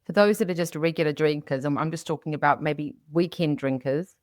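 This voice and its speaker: A woman's voice: low voice, fairly quick, no room sound, clean studio sound. A woman hosting a podcast in casual, chatty style, telling anecdotes and acting out what people said. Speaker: podcast host. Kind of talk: casual, chatty interview talk.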